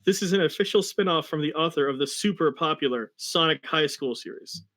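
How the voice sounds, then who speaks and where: A man with a slightly high voice, speaking casually as the reader on a livestream. He introduces and presents material in a conversational way. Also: a little fast, fairly bright tone. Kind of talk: casual chat and dramatic reading.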